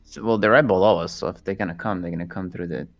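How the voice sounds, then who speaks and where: Low-voiced man at a game table. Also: fast talker, dull audio, soft background music. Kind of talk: casual game-table chat.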